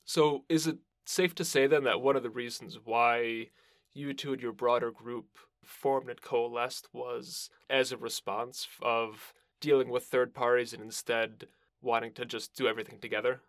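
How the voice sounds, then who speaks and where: A man's voice: mid-range voice, fairly even intonation, moderate pace, no room sound, clean studio sound. A man hosting an interview podcast, asking questions in a casual conversational style.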